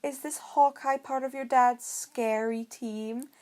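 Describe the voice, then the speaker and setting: A woman's voice: steady tone, slow, warm tone. A woman voicing a nosy, slightly mocking schoolgirl in a fantasy story. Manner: high, slightly mocking delivery.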